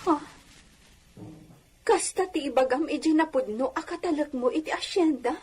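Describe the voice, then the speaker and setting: A woman's voice: sits high, moderate pace, a little mellow, soft background music, close to an everyday voice. A woman playing a genteel, soothing wife of a hacienda owner in a radio drama, speaking in a coaxing, gracious way. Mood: pleading, coaxing. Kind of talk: radio drama acting in Ilocano. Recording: faintly noisy radio drama audio.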